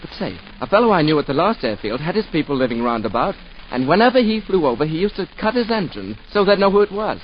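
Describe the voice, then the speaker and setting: A man's voice: on the high side, very animated, quick pace, slight background noise. An actor playing an eager young man joining the air force in a 1940s radio drama. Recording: dull 1940s radio broadcast with music.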